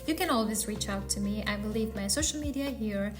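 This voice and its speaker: A woman's voice: mid-range voice, fairly quick, music in the background. A woman from Pakistan who teaches English, chatting casually and straight to the viewer in her vlog. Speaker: vlogger.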